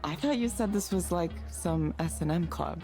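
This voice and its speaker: Actress playing reserved woman, skeptical, lowish voice, naturalistic game dialogue, music underneath. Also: moderate pace, mellow, natural voice. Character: Evelyn, guarded skeptical woman, reserved newbie counsellor. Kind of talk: visual novel game voice acting.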